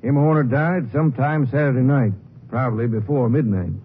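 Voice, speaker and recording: Precise, professional country doctor, played by a male actor, mid-pitched, muffled vintage radio drama. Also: brisk, natural voice. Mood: businesslike. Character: brisk, precise small-town medical examiner man. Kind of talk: 1950s radio drama acting. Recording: muffled old radio recording, faint noise.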